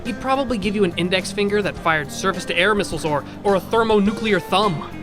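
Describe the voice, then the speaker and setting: A man's voice: high-pitched, quick pace, music in the background, close to an everyday voice. A man playing a chatty, mischievous robot bartender and prankster in an audio drama, flippant and rambling. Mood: gleeful.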